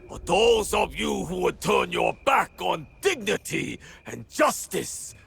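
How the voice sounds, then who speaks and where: A man playing a fiery warlord in a video game, gravelly and commanding, rallying his armed men with grandiose oratory. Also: sits high, huge pitch swings, takes it slowly, fairly bright tone, a little hiss or hum, full character voice. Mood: fierce, rallying. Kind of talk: dramatic video game cutscene acting.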